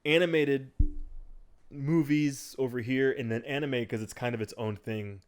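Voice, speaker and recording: A man co-hosting a podcast in a casual chat, animated as he steers the discussion. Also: mid-range voice, normal speed, clean tone. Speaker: podcast co-host.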